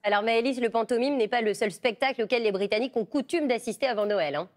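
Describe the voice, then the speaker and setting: A woman's voice: fairly high pitch, fast talker, a little mellow, faint music behind. A woman presenting the news, talking with a correspondent in a live exchange. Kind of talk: live studio hand-over to a correspondent.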